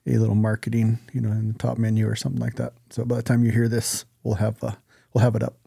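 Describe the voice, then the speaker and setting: Man, creaky gravelly voice, mid pitch, informal podcast co-host, joking and profane, dry close sound. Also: monotone, fast talker, warm tone, no room sound. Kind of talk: casual chat, banter.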